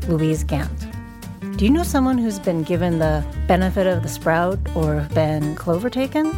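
An actress playing a composed public radio news host, presenting in a measured, formal way in an audio drama. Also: female voice, fairly low pitch.

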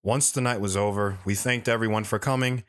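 A man's voice: on the low side, fairly even intonation, fairly quick, a bit bright, lightly acted. A man playing an earnest, reflective drifter who tells his life story plainly and sincerely in an audio drama.

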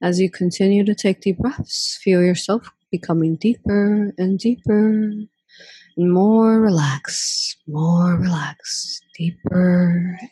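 A woman leading a guided meditation in a soothing, breathy, hushed voice, drawing out and gently repeating phrases with long pauses. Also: on the low side, dry recording.

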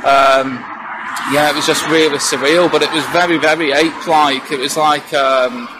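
Young man, hesitant and halting, monotone, fairly high voice, noisy street ambience behind. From England. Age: young adult. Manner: hesitant, halting, many fillers. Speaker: witness. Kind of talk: casual first-hand recounting. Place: outdoors on a residential street.

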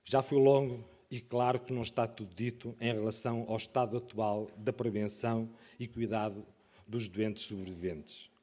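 A man finishing a prepared formal speech in an earnest, deliberate way. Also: fairly low pitch, fairly even intonation, dark tone. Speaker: speaker. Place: parliament session hall.

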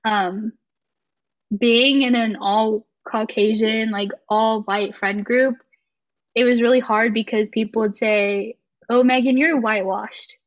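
A woman's voice: fairly high pitch, fairly even intonation, slow. A young woman from the United States, speaking thoughtfully and hesitantly as a guest in a casual podcast conversation. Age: 20s. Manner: thoughtful, hesitant, many fillers. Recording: slightly muffled lo-fi audio.

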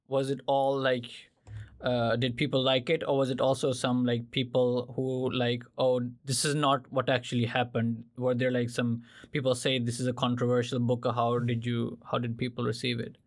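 A man's voice: mid-range voice, little pitch movement, moderate pace. A man whose first language is Urdu, interviewing in a casual, conversational way, with informal questions dotted with "like". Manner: informal, scatters "like" through his questions.

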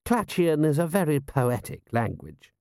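Guy doing a stuffy posh army lord for an audiobook, clipped, looks down on everyone, raised pitch. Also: very animated, unhurried, a little mellow, clean tone, dry recording, studio quality. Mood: haughty. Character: pompous, stiff-smiling aristocratic male army lord.

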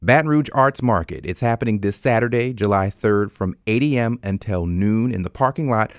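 A man's voice: fairly low pitch, expressive, moderate pace, dry recording, studio quality. An American man hosting a radio show, presenting in a conversational way and speaking directly to listeners. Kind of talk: scripted announcements and interview hosting.

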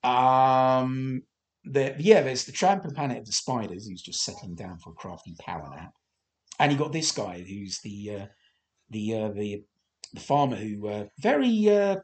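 Croaky man, rambling podcast host. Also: medium pitch. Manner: rambling, hesitant, many fillers and restarts; self-deprecating. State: slightly croaky voice. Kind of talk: casual film commentary.

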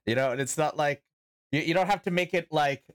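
A man's voice: on the high side, fairly quick. A man who streams, talking in a casual, rambling chat and often breaking off his own sentences. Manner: rambling, self-interrupting. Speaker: streamer, podcast host.

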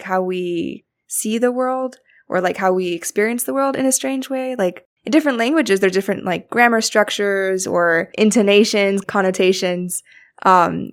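Young woman, podcast host, gravelly voice, supportive, thoughtful. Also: mid-range voice. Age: young adult. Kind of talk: casual interview chat.

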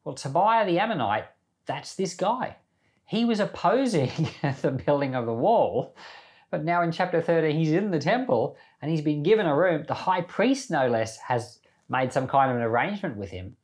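A man's voice: on the high side, sing-song, moderate pace, a little mellow. Conversational man giving informal Bible teaching. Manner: conversational, poses questions to listeners. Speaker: Bible teacher and podcast host.

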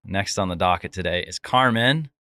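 Very low-voiced man hosting a church chat. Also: lively intonation, normal speed, dry recording. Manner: warm encouraging interjections, rambling questions. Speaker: church missions director and host. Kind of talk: casual church interview.

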